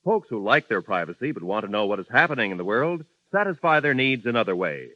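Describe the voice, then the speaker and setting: A male radio announcer reading a station promo in a smooth, formal 1950s manner. His voice is bright and animated, close and dry. The sound is dull and lo-fi. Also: lowish voice, fast, no echo. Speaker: network announcer.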